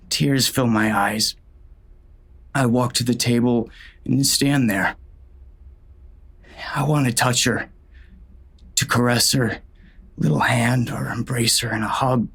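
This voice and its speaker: A man's voice: medium pitch, gravelly, fairly bright tone, slightly rough, exaggerated voice. An actor playing a hard-bitten western sheriff and grieving, furious father, narrating a dramatic audiobook in an intense, menacing way. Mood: grieving, determined.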